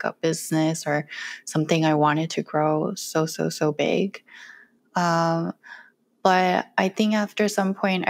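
A woman's voice: fairly low pitch, gravelly. A young woman from Korea talking casually in an interview, chatty and laughing often, on a close mic at home. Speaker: guest, cooking content creator. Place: small bright room at home, indoors. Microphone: close studio mic with earphones.

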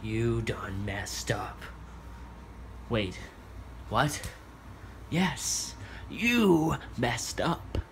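A man's voice: medium pitch, a bit bright, slight background noise, rough recording. A young man voicing a spiteful male demon trapped in a mirror, taunting and mocking, recorded on a phone in a small bedroom. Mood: gloating. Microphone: phone camera held at arm's length.

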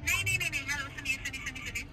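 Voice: high-pitched, racing, natural voice. A prerecorded performer playing an indignant, scolding mother on a prank phone call. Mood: insistent. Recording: very noisy playback from phone speaker.

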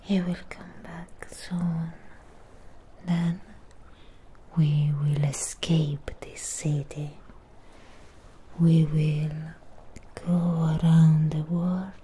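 Woman as a slow, whispering undead monster girl. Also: low voice, level tone, dark tone, a little hiss or hum. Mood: tender, reassuring. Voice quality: breathy close-up whisper. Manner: tender, slow, deliberate, halting short phrases. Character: Frankenstein's creature girl, possessive, adoring, comforting her mate. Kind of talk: soft-spoken ASMR roleplay, whispered. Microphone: close ASMR microphone.

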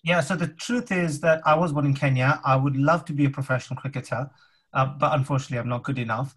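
A man's voice: medium pitch, fairly even intonation, fast talker, fairly bright tone, breathy edge. A man talking casually as a workshop participant on a video call from a home room, thoughtful and hesitant, often restarting his sentences. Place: home room with map on wall. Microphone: laptop webcam on video call.

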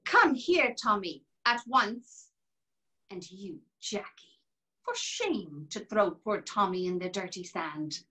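Actress reading a brisk young Irish girl, lively, scolding. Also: medium pitch, exaggerated voice. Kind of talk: dramatic reading of a novel. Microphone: video call.

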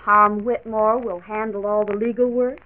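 A woman's voice: medium pitch, level tone, normal speed, natural voice. An American woman playing a friendly, solicitous doctor's wife in a 1940s radio drama. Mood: admiring, friendly. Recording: tinny, hissy 1940s radio transcription disc.

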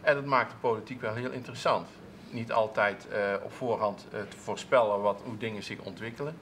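A man's voice: fairly low pitch, brisk, a bit bright, a little hiss or hum. Hesitant man answering in a studio. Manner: hesitant, many restarts and fillers. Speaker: council clerk. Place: TV studio with seated audience, at a table.

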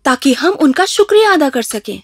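Cartoon wife: high, animated voice, caring and sensible, light music underneath. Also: female voice, dry recording. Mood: grateful, encouraging. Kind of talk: children's cartoon dub.

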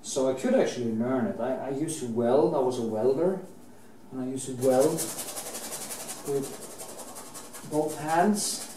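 Norwegian painter, middle-aged, unhurried rambling talk with restarts, off-mic in a roomy space with faint hiss. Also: male voice, mid-range voice, warm tone, distant mic. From Norway. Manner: slow, rambling, with many restarts and fillers. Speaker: painter teaching oil painting. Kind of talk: casual chat while painting. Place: indoor painting studio.

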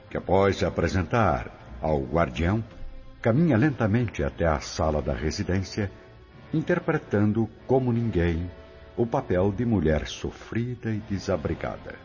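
A man's voice: sits low, darkish tone. A man with a Brazilian accent giving dramatic scripted narration.

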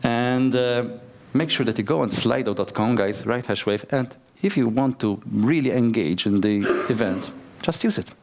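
A man's voice: medium pitch, normal speed, few pauses, darkish tone, slight background noise. A man moderating a conference panel in an upbeat way, with playful asides to the audience. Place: large conference hall.

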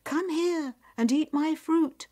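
A woman's voice: sits high, slow pace, dark tone, pitched above the performer's own voice. A woman voicing an enchanted talking thing in a folk tale, calling out and coaxing a traveller. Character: enchanted talking tree and plate, sweetly luring a traveller.

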